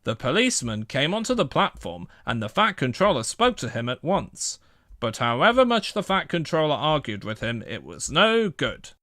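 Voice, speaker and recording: A man's voice: fairly high pitch, big pitch swings, normal speed, pushed and bright, no room sound, studio quality. A man narrating a children's story from a script as a storybook reader.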